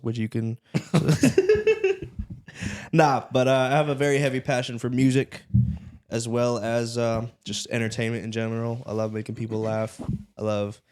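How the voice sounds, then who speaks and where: A man in his twenties co-hosting a casual podcast, playful and quick to plug his own channel. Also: mid-range voice, vocal fry, darkish tone. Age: 20s. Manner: playful, self-promoting. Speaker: podcast co-host. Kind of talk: casual chat.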